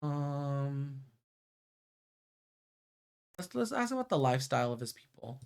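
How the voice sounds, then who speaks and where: A man's voice: medium pitch, sing-song, unhurried, creaky, smooth. A man streaming a visual novel, reading its narration aloud and adding casual asides.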